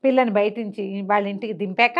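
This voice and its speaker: A woman's voice: medium pitch, fairly even intonation, fast, lo-fi sound. Conversational woman storyteller telling a tale. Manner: conversational, asks rhetorical questions, acts out dialogue. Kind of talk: animated oral storytelling of a traditional tale.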